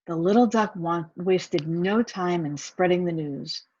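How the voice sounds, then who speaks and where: A woman's voice: lowish voice, a little slow, darkish tone, lo-fi sound. A woman reading a children's picture book aloud, with asides to the young listeners. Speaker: volunteer story reader.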